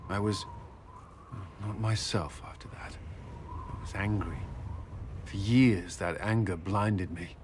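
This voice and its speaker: An actor playing an earnest, humbled, dutiful warrior in a video game, speaking sincerely and haltingly. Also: male voice, fairly low pitch, steady tone. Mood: remorseful. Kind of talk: video game cutscene dialogue. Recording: clear background ambient noise.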